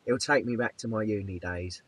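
A man's voice: fairly low pitch, a little fast. A middle-aged British man hosting a vlog, chatting casually to camera in a jokey, teasing way. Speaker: vlog host. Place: camper van, farm yard and outdoor spots. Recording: clear ambient noise on camera audio.

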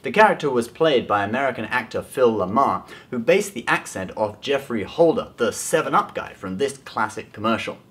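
A man's voice: mid-range voice, expressive, moderate pace, few pauses, a bit bright. A man in his twenties hosting a scripted explainer video about films, speaking to camera. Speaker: host of a film trivia video. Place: small indoor room at home.

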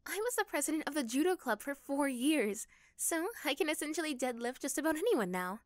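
Woman doing a sweet shy girl next door for asmr roleplay, high gentle voice, really dry sound. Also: animated, brisk, dry recording. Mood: gentle, caring. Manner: soft, hesitant, gentle.

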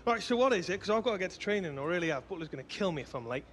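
A man's voice: high voice, lively intonation, racing, slight background noise. A young man acting a cheeky, hapless professional footballer in a video game, rambling and matey. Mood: cheeky, evasive. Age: young adult. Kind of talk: naturalistic video game cutscene dialogue.

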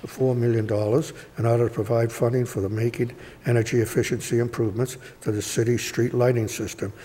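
A man reads agenda items aloud in a steady, measured way. His voice is mellow and dark. The council chamber adds a long reverb and a faint hiss. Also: mid-range voice. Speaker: city clerk reading agenda items. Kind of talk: formal reading of council agenda. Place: council chamber, wood-paneled room.

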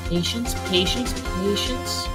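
A woman's voice: medium pitch, takes it slowly, music in the background, natural voice. A woman voicing a quirky, easily irritated teenage schoolgirl in an anime fan comic dub, dramatic and childlike.

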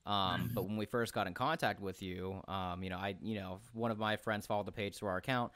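A young man hosting a podcast over a video call, talking quickly with many fillers into a headset and studio mic in a small room at home. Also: fairly low pitch, steady tone, a bit bright, dry recording. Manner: fast, rambling, many fillers. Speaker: podcast host. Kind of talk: casual video call chat. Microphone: headset with large studio mic.

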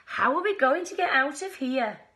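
A woman voicing a small, trusting, bravely protective boy in a storybook, with slow, emphatic delivery. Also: sits high, lively intonation, sharp tone. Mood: relieved.

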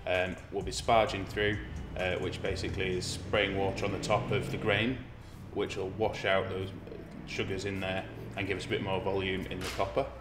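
A man around forty speaks in a very low voice with little movement in pitch. He explains his work as a brewer to a documentary interviewer. The room gives his voice clear echo. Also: flat delivery, normal speed, few pauses. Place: brick-walled room indoors. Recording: roomy echo, music underneath.

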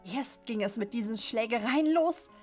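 Woman doing pissed off mom telling off her teen in a creepy audio story, confrontational, really lively high voice. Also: moderate pace, close to an everyday voice. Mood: exasperated, scolding. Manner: indignant, confrontational. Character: angry, scolding mother of a delinquent teenager. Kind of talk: audio horror story acting. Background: music.

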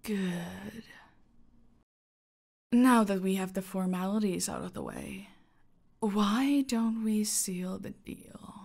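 A woman playing a domineering, seductive cartel boss in a scripted audio roleplay, haughty and menacing, with a breathy voice that often drops to a whisper. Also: medium pitch, very animated, a little mellow, exaggerated voice. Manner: slow, menacing, haughty, purring endearments.

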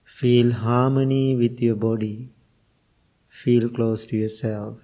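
Slow, mellow man guiding meditation. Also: mid-range voice, steady tone, poor audio. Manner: slow, unhurried phrases with long pauses. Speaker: Buddhist monk and meditation teacher. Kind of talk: guided meditation and spiritual talk.

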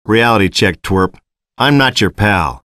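A gruff middle-aged action hero for a video game. He is cocky and curt, with a lively range of pitch and a dry, close sound. His delivery is sardonic and mocking. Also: a little slow, no room sound. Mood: scornful. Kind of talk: video game cutscene acting.

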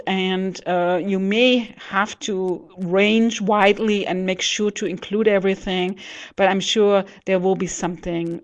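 A middle-aged woman gives advice in an online presentation, speaking English as a second language. Her voice sits at an ordinary female pitch. She talks haltingly, often feeling for the next word, and is picked up by a headset microphone. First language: not English. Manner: halting, hesitant, searching for words. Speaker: education adviser, webinar presenter. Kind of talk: online presentation answering audience questions. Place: indoors in an office, on a webcam.

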